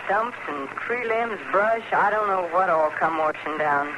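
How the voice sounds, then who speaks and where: An actress playing a strong-willed, eerie frontier farm widow with a rural American accent, folksy and solemn, in a radio Western. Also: female voice, fairly low pitch, a little slow, highs cut off, lightly acted. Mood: folksy, plain. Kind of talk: old-time radio Western acting. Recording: noisy vintage radio recording.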